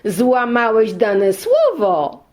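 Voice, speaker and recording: A woman voicing a quarrelsome, unyielding brother in a storybook tale, with emphatic, drawn-out reproach. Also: on the high side, huge pitch swings, darkish tone, heavily acted. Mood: reproachful. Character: scolding brother, quarrelsome, unyielding young male.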